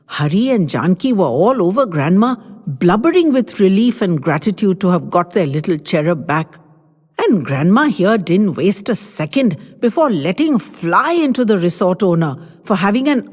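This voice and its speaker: A woman narrating a family story in an expressive storybook manner. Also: mid-range voice, normal speed, long reverb tail.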